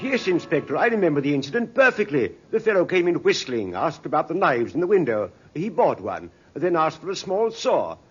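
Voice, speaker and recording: Eager high-voiced shop clerk. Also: male voice, very animated, brisk, few pauses. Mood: eager, emphatic. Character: eager, certain male hardware shop clerk. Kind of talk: 1950s radio drama acting. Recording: muffled 1950s radio recording with music.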